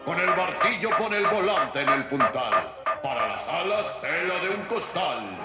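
A man's voice: on the high side, sing-song, a little slow. A man voicing a bossy, mocking talking spell book in Latin American Spanish for a cartoon, chanting taunting rhymes. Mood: commanding. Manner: speaks in taunting sing-song rhymes. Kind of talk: cartoon dub acting. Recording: cavernous echo, distant, dull sound, music behind.

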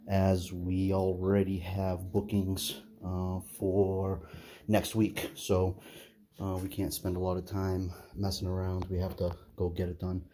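A middle-aged man giving a casual, halting walkthrough of empty condo rooms, filmed on a handheld phone as he walks. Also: low-pitched. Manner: relaxed, halting, many "uh" fillers. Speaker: property investor giving a walkthrough. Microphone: phone camera held while walking.

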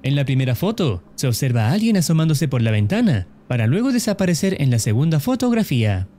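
A man delivers formal scripted narration for a video essay. His voice is mellow and a little high, with animated rises and falls, and he explains in a measured way.

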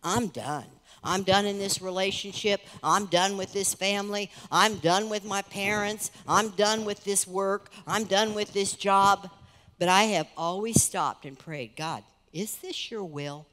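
A middle-aged woman pastor preaching on a church stage, earnest and conversational, her voice rising and softening as she speaks. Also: mid-range voice, unhurried. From United States. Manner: earnest, conversational preaching with lively rises and soft drops. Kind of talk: sermon. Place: large church stage, indoors. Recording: reverberant church hall.

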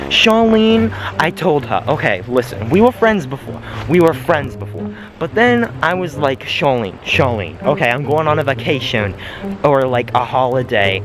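A man's voice: mid-range voice, lightly acted. Guy doing a dramatic jersey girl voice, gossipy and complainy, phone mic outside, music under it. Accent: put-on New Jersey. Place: outdoors in sunny woods. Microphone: phone held at arm's length.

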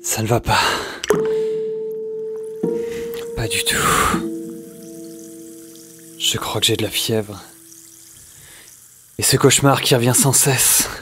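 A man's voice: medium pitch, a little slow, long pauses, over music, natural voice. A man playing a lonely, rambling survivor of a zombie apocalypse in an audio drama, hesitant and muttering to himself. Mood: feverish, sad. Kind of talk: audio fiction acting with inner monologue.

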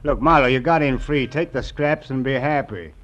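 A man's voice: mid-range voice, normal speed, fairly bright tone, lightly acted. An actor playing a sly, sarcastic rival private investigator, a man needling in slangy 1950s radio drama style. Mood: smug. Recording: muffled vintage radio recording.

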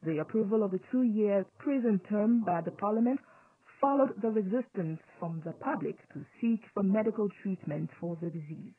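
A woman hosting a scripted radio news programme. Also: mid-range voice. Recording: tinny phone-like digital shortwave radio.

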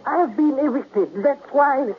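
An actor playing a proud, jealous, indignant household chef in a 1970s radio drama, sounding huffy and aggrieved. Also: male voice, very high voice, takes it slowly. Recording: tinny, noisy 1970s radio broadcast.

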